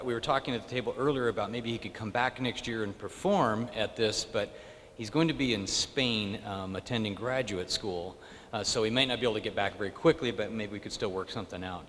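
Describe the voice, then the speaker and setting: A man hosting an event, introducing the next speaker in a halting way with many fillers. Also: on the low side, a bit bright. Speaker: event host. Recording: reverberant hall with faint room noise.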